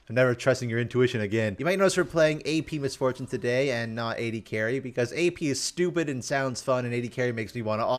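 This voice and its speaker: A man hosting a video game review, telling stories in a casual, sarcastic, animated way with joking asides. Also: medium pitch. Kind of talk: casual gameplay commentary and storytelling.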